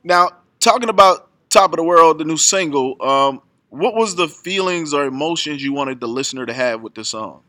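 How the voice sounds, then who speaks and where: A man's voice: a little high, expressive, moderate pace, few pauses, pushed and bright, no echo, clean studio sound. A man hosting an interview show, upbeat and hyped, often repeating his questions. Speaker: radio show host.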